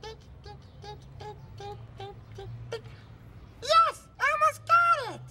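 A man's voice: very high-pitched, very animated, measured pace, heavy background noise, exaggerated voice. A man performing a small, eager furry monster child who giggles and talks about himself in the third person. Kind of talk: children's puppet show.

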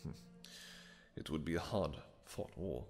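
A man playing a suave male vampire king, smooth, flirtatious and dryly amused, in an audio roleplay. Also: extremely low pitch, unhurried, a little mellow, heavily acted. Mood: scheming. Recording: long reverb with music behind.

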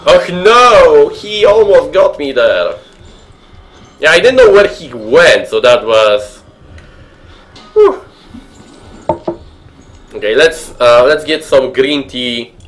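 A man's voice: on the high side, lively intonation, slow, long pauses, fairly bright tone, poor audio. A young man from Poland with a Polish accent, streaming a horror game from a room at home, chatting with viewers and muttering nervously. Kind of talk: horror game commentary with chat.

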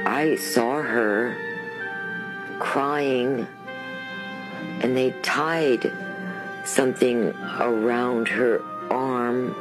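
A woman working as a psychic, speaking in a trance in a halting, dreamy, hesitant way. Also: rumbling low, poor audio. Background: music.

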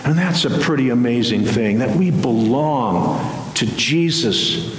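American male preacher, unhurried sermon delivery, long church reverb with a faint hiss behind. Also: mid-range voice, lively intonation. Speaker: Lutheran pastor preaching. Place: large church sanctuary, at the pulpit.

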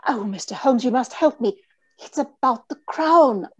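A woman's voice: high voice, expressive, unhurried, husky, exaggerated voice. A woman playing an anxious, genteel young fiancée in old-time radio mystery style, with a pleading manner. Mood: nervous, pleading. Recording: dull video-call audio.

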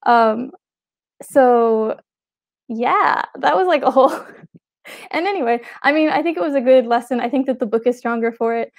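A woman speaks from a room at home over a video call. Her voice sits fairly high, and she talks in a loose, chatty way, full of "like" and "um". Her laughter keeps breaking in. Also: normal speed, creaky. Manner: chatty, frequent "like" and "um" fillers, laughs often. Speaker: author panelist. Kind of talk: casual panel talk. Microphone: video call webcam mic.

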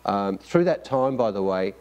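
A man's voice: on the low side, animated, a little slow, a little mellow, smooth, dull audio. A middle-aged man hosting an arts interview show, asking questions with many fillers and restarts. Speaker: host. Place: studio with staircase.

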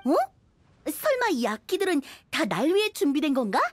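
A woman playing a spirited, upbeat young woman musician in a video game, friendly and expressive. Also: sits high, normal speed, no room sound.

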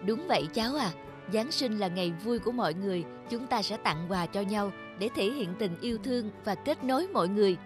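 A woman voicing a kind, patient nanny in a cartoon who speaks gently to the girl she cares for. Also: medium pitch, lively intonation, fairly quick, music in the background, lightly acted. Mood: gentle. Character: Mary, a kind middle-aged nanny. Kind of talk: cartoon dubbing.

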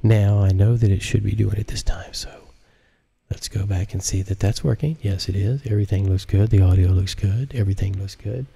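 A man's voice: lowish voice, fairly even intonation, fairly quick, vocal fry, dark tone, slightly rough. A man reading his own novel aloud as an audiobook narrator on a live stream.

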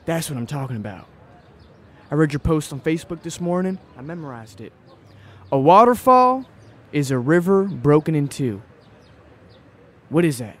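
A man's voice: fairly high pitch, expressive, moderate pace, pauses often. An actor playing an eager, restless young small-town man of about twenty in an audio play, folksy and blunt. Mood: searching, eager. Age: young adult. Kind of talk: audio play acting before a live audience.

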